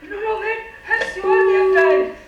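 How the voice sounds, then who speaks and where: A woman's voice: very high voice, moderate pace, lo-fi sound. A woman acting in a stage play as the hopeful, resourceful inner voice of a stricken scientist. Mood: focused. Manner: earnest, dramatic, urging. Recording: echoey stage, distant mic, loud music.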